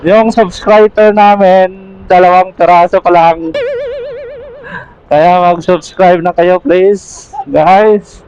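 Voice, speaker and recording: A man's voice: sits high, fairly even intonation, slow, long pauses, a little mellow, highs cut off. A man chatting casually as a motorcycle vlogger through a helmet intercom while riding. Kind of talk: casual riding vlog chat. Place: outdoors, riding a motorcycle in traffic.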